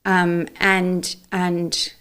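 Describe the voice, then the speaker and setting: A woman's voice: on the low side, completely flat delivery, very slow, fairly bright tone, clean tone. A woman from South Africa whose first language is Afrikaans, speaking as an interview guest in an outspoken, emphatic way with frequent restarts. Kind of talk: interview conversation.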